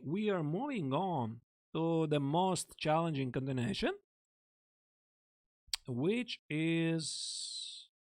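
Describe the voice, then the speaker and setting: A man coaching chess on a live stream, thinking aloud and chatting casually with viewers in a close desk mic. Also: fairly high pitch. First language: Latvian. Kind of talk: live-streamed chess lesson, explaining lines.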